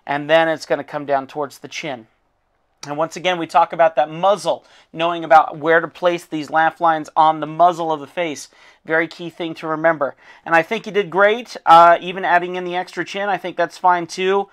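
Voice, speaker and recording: A hoarse man who has lost his voice, an art teacher critiquing a student's drawing in a casual, encouraging way with frequent fillers. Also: a little high, little pitch movement, moderate pace, a bit bright. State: losing his voice.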